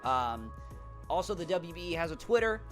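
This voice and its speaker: Young male streamer, high-pitched, hyped and rambling, voice worn thin, small home room. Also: moderate pace, faint music behind. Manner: hyped, shout-out heavy, repeats words when excited. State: losing his voice. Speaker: YouTuber and draft league coach. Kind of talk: energetic casual vlog talk.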